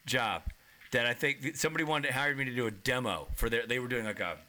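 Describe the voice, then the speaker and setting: A man in casual chat who jokes, stammers into restarts and gets animated as he tells stories. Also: mid-range voice, fast.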